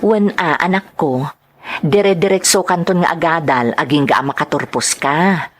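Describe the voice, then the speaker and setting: A woman with an Ilocano accent playing a gentle, reassuring mother in a radio drama, soothing and measured. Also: low-pitched. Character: Lorica as gentle, reassuring mother to her daughter.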